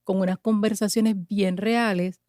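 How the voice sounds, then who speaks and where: A woman speaks in an earnest, emphatic way, often building her points into rhythmic lists. Her voice sits at an ordinary middle pitch. The sound is dry and close, with no room echo. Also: fairly even intonation, unhurried, mellow. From Puerto Rico. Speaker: guest, pastor and mother. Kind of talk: conversational interview answers.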